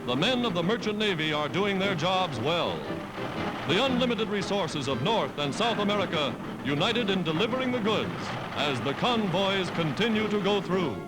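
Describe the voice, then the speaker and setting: Loud music plays under a vintage newsreel soundtrack from the 1940s. Over it, a man with a notably high-set voice delivers scripted wartime narration. His delivery is dramatic and declamatory throughout. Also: big pitch swings, moderate pace, low-quality recording.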